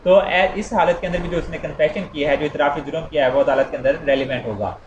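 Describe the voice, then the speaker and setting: A man's voice: on the high side, fast talker, highs cut off. A man gives an informal explanatory lecture, repeating points conversationally and illustrating them with everyday examples. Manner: repetitive, conversational teaching with acted-out everyday examples. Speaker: law lecturer. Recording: echoey room with clear background noise.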